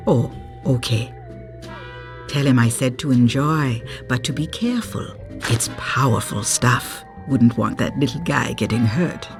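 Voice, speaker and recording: A woman voicing a mysterious, knowing voodoo fortune teller in a pirate video game, measured and mystical. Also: rumbling low, lively intonation, music in the background, exaggerated voice. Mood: knowing, ominous.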